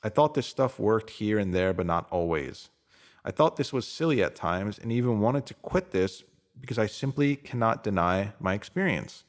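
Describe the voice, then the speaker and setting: A man's voice: fairly low pitch, lively intonation, normal speed. Man reading motivational narration. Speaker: narrator reading a self-help essay.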